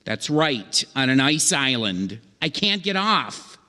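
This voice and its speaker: A man's voice: medium pitch, big pitch swings, slow, pushed and bright, heavily acted. A man playing a flustered, pleading stranded ice fisherman in an old-time radio comedy sketch, stammering dramatically and repeating himself. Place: theatre stage. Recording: echoey theatre hall with long reverb.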